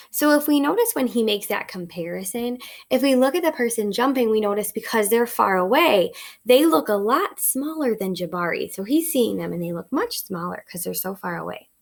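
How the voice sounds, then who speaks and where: A woman teacher reading a picture book aloud to children, expressive and instructive, pausing to ask questions. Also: a little high, gravelly. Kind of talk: read-aloud lesson, storytelling with questions to children.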